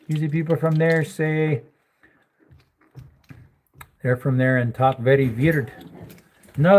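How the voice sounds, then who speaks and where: A man's voice: fairly high pitch, a little mellow. A man hosting a casual livestream, rambling and joking while greeting viewers in the chat. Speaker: livestream host.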